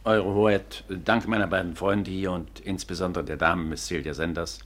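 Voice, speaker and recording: An earnest police inspector in a radio play. His voice is very deep. He speaks formally and courteously, like an official. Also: little pitch movement, normal speed, fairly bright tone. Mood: deferential, concerned. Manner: formal, deferential, matter-of-fact. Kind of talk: 1950s German radio play acting.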